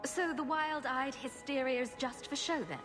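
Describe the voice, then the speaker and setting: A woman's voice: high-pitched, moderate pace, close to an everyday voice. Woman doing a self-assured sarcastic hero lady, video game acting, dry, bit of music under it. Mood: wry, firm. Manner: dry, wry. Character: wry adult heroine, caring, sardonic, self-assured.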